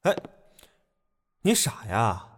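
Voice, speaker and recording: A man's voice: medium pitch, huge pitch swings, very slow, in a large hall, slight background noise, full character voice. A man playing a cool, curt young idol group leader, offhand and dryly teasing, in an audio drama.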